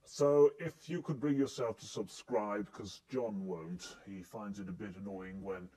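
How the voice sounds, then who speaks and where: A man giving a casual announcement in a halting, tongue-in-cheek way. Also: lowish voice, steady tone, mellow, breathy edge, rough recording.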